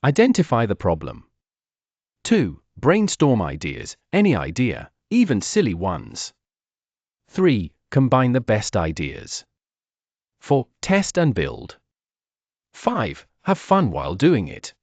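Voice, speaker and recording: A man acts out a brainy girl builder from a children's storybook. She is an encouraging, upbeat planner who instructs her friends with very lively intonation, in a dry recording. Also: medium pitch, slow pace, breathy edge, no echo, exaggerated voice.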